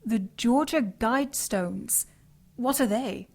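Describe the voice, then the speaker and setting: An actress with a British accent playing a tech-savvy, teasing partner in an audio drama, speaking precisely and dryly. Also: female voice, a little high, slow pace, noisy background.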